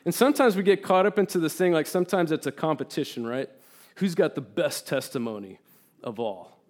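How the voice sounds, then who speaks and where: Earnest man preaching, slightly high voice. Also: a little fast. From Turkey. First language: Turkish. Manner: earnest and animated, repeats words when emphasising, reads scripture aloud. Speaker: guest preacher. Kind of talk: sermon.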